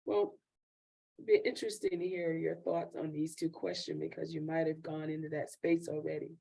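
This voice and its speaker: A woman's voice: deep voice, level tone, moderate pace, creaky, mellow, breathy edge, low-quality recording. A woman moderating an online Q&A, reading out audience questions with hesitant fillers. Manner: hesitant, reads questions aloud. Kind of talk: hosting an online Q&A.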